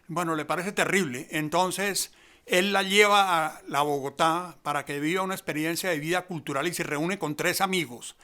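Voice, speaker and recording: A Colombian man with a somewhat high voice explains things conversationally as an expert. He is discursive and emphatic, unfolding his points like stories. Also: bright, forceful tone. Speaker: expert commentator, theatre researcher.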